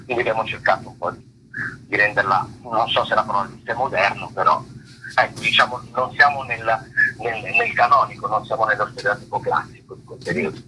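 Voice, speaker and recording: Deep, forceful man answering haltingly, noisy line. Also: steady tone. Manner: halting, searching for words, rephrasing himself. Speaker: stage actor, interview guest. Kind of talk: unscripted interview answers.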